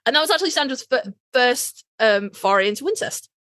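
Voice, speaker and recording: A woman's voice: on the high side, animated, a little fast, fairly bright tone, dry recording, studio quality. A woman co-hosting a podcast in casual, slangy chat, swearing freely and telling stories in quoted exchanges. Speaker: podcast co-host.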